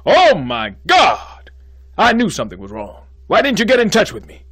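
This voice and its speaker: A man voicing an excitable, blustering middle-aged male college head who scolds and shouts in a frantic, demanding way. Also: mid-range voice, measured pace, husky, no room sound, studio quality, voice pushed up. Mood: scolding.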